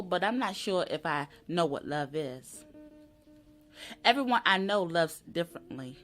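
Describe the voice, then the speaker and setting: A woman giving a dramatic poetry reading in a deliberate, expressive manner. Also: mid-range voice. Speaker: poetry reader. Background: acoustic guitar music.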